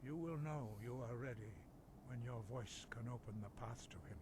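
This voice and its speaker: An actor playing a serene elder monk in a fantasy video game, teaching in a measured, formal, pious way. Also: male voice, medium pitch, background noise, close to an everyday voice. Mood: solemn, instructive. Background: game music.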